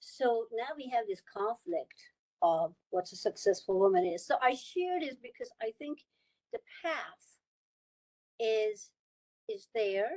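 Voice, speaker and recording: A woman's voice: on the high side, expressive, takes it slowly, warm tone, poor audio. A woman from Iran speaking on a panel over a video call, earnest and repeating words for emphasis. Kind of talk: panel discussion remarks.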